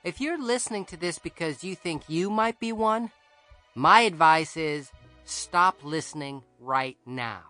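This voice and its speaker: A man narrating an audiobook in the first person as a boy, conversational, wry and expressive. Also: fairly high pitch, a little slow, soft background music. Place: dry studio.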